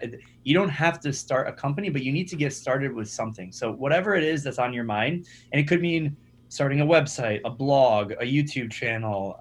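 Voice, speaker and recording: Young guy, founder on a podcast, upbeat, keeps restarting sentences, regular pitch. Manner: energetic, repeats words when starting sentences. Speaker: startup founder, guest. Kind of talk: conversational podcast interview.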